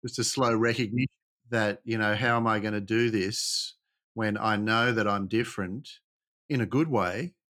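A man's voice: on the low side, fairly bright tone. Hesitant man asking probing questions. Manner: hesitant, halting questions that sum up the guest's answers. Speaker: interviewer.

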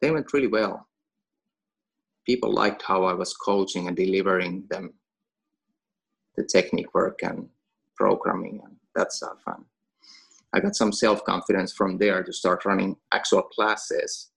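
A man's voice: low-pitched, fairly even intonation, a little slow, gravelly, pauses often, a bit bright, breathy edge. A Finnish man who is a gym owner and coach, chatting casually in English with a Finnish accent and laughing between phrases. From Finland. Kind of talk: casual podcast conversation.

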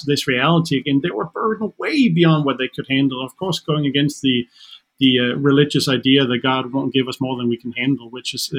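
A man's voice: medium pitch, fairly bright tone, breathy edge, low-quality recording. A man whose first language is Danish, earnestly explaining his faith in a conversation, often restarting his sentences. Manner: earnest, halting with repeated restarts. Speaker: guest counselor.

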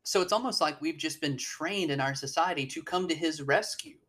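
Earnest chatty speaker, lots of restarts, thinking out loud. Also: medium pitch, moderate pace. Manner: earnest, searching, with frequent restarts and laughs. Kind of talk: candid conversational chat.

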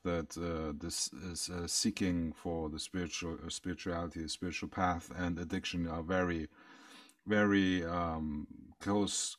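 A man with a very low voice, German his first language, talks haltingly with frequent fillers. He sits back from the microphone in a dry space. He speaks as a counselor answering questions in a podcast interview. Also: no room sound, far from the mic. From Austria. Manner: halting, many ums and repeated words. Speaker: counselor and breathwork guest.

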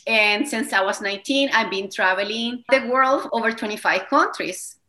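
Bright-voiced Venezuelan woman in her thirties. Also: fairly high pitch, moderate pace. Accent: Venezuelan Spanish. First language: Spanish. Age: 30s. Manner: enthusiastic, slightly non-native phrasing. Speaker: wellness coach, guest. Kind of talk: interview conversation.

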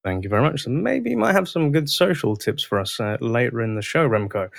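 A man's voice: mid-range voice, very animated, a little fast, vocal fry, dry recording, studio quality. Upbeat podcast host man, sing-song. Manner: enthusiastic, sing-song intro, polite "sir".

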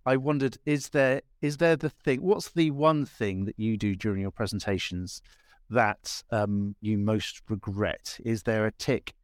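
A man's voice: on the low side, a little slow, no echo, clean studio sound. A man hosting a podcast interview, asking long, hesitant questions full of ums and restarts. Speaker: podcast host.

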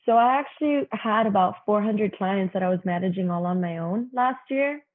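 A woman's voice: medium pitch, normal speed. Young woman on a tinny line. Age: 20s. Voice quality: young-sounding voice. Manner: chatty, frequent "you know" fillers. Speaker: guest. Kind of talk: casual interview talk.

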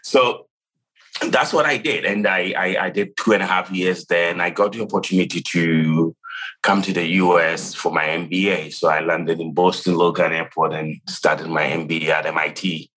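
Ghanaian man, late 30s, deep and bright; thoughtful finance guest, restarts often. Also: steady tone, normal speed, poor audio. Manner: thoughtful, with frequent restarts and "you know". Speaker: CFO guest. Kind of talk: interview, telling his career story.

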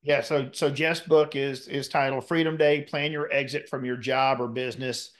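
A man's voice: medium pitch, fairly even intonation, moderate pace. A man hosting an interview who rambles and repeats words as he frames his questions. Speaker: podcast host.

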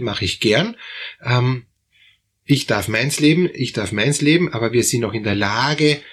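A man's voice: medium pitch, fairly bright tone, husky. A man, a couples therapist giving relationship advice in a rambling way with many fillers and restarts. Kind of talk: advice talk.

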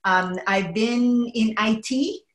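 A middle-aged woman from the Philippines speaks as a podcast guest. She talks in an earnest, measured way, often pausing with small fillers. Her voice carries a noticeable room echo. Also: a little high. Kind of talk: casual interview conversation.

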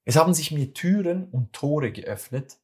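A man's voice: a little high, lively intonation, normal speed. Earnest Swiss German man giving advice. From Switzerland. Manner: earnest, repeats and restarts phrases, addresses listener directly. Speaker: speaker giving communication advice. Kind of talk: motivational monologue.

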